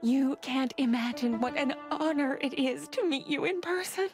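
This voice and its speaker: A woman voicing a starstruck, gushing animal receptionist in a noir video game, excitable and breathless in her dramatic delivery. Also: high voice, normal speed. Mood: overexcited. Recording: faint music behind the voice.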